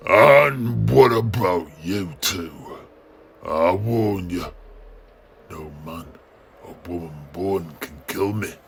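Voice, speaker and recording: Man playing a slow, gravelly, menacing warrior king. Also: low voice, a little hiss or hum, exaggerated voice. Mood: cold threat. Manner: slow, theatrical, threatening. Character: menacing, bloodthirsty warrior king, taunting his foes.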